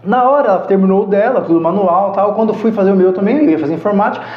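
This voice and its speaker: A talkative man in his thirties with a fairly high voice. He tells stories from his life in a casual way, laughing often and acting out what people said. The sound is echoey and a bit dull. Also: rapid-fire. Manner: chatty, laughs often, acts out quoted dialogue. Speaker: podcast guest. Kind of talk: casual storytelling.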